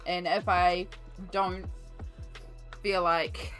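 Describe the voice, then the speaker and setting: An Australian woman with a cold, her voice lowered and coughing, chatting casually and rambling with many ums while she colours on a stream. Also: flat delivery, extremely slow, fairly bright tone. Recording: faint music behind.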